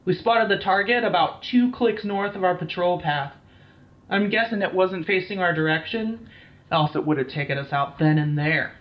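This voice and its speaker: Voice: medium pitch, moderate pace, lightly acted. An actor playing a shaken, foul-mouthed military team captain in an audio drama, blunt and weary, on a slightly dull recording. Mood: bitter, blunt. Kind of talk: dramatised incident interview, audio drama acting.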